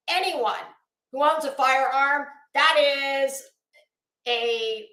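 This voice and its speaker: Female livestream host, unhurried and high in pitch, emphatic, halting and foul-mouthed, casual delivery. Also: fairly bright tone. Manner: blunt, sweary, emphatic. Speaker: host of a live commentary channel. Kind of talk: casual livestream rant, chatting to viewers. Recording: echoey, roomy sound.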